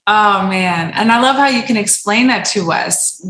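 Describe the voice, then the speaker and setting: A woman's voice: medium pitch, moderate pace, a bit bright, roomy sound, slight background noise, rough recording. A woman hosting a brand interview, bubbly and enthusiastic, full of praise for her guest. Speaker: host. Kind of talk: upbeat video interview.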